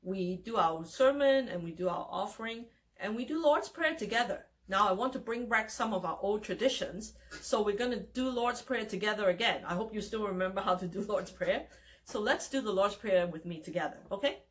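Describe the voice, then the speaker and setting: A friendly woman in her forties leading a children's worship session from home. She speaks to the kids in an encouraging tone at an ordinary pitch. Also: animated, a little fast. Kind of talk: addressing children.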